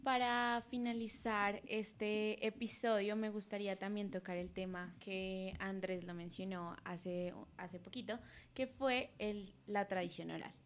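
A woman from Colombia co-hosting an educational radio program. She talks reflectively at an ordinary pitch, often restarting her phrases. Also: fairly even intonation, unhurried. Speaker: radio show co-host.

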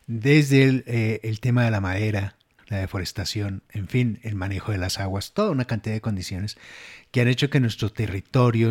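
A man's voice: on the low side, a little mellow. A man hosting a radio interview program who asks long, earnest, winding questions. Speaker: radio host.

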